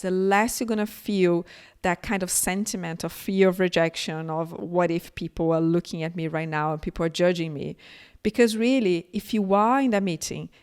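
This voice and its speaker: A woman's voice: on the low side, darkish tone, smooth. A middle-aged woman from Brazil with a Brazilian accent, delivering an earnest, encouraging conference keynote and speaking directly to her audience. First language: Portuguese.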